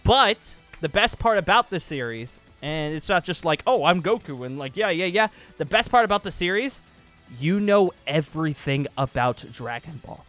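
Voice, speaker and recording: A man hosting a casual, excited gaming vlog, rambling along and repeating phrases for emphasis. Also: on the high side, normal speed, soft background music. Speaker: YouTube host pitching a gaming roleplay series. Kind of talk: casual excited vlog chat over gameplay.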